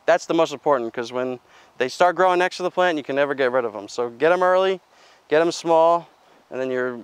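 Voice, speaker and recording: A man's voice: on the high side, clean tone, no echo. A young man, a farmer giving a casual outdoor walkthrough of his equipment, talking plainly with lots of fillers and restarts. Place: outdoors in a farmyard.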